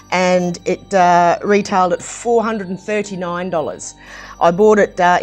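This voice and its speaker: A woman with a slightly croaky voice, chatting casually through a hands-on review of a building set, with plenty of ums and restarts. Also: mid-range voice. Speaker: brick set reviewer, channel host.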